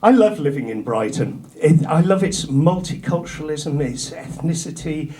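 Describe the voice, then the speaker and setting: A middle-aged man from North Yorkshire with an English accent, doing a stand-up routine in a comedy club, dropping his punchlines low and dry. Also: expressive, normal speed. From North Yorkshire, England. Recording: live club with loud crowd laughter. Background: audience laughter.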